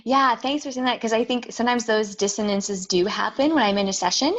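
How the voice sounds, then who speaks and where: A woman astrologer talking reflectively in a relaxed interview, explaining her practice with frequent "um" and "like". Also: fairly high pitch, a little fast, highs cut off. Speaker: astrologer guest. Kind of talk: casual conversational interview.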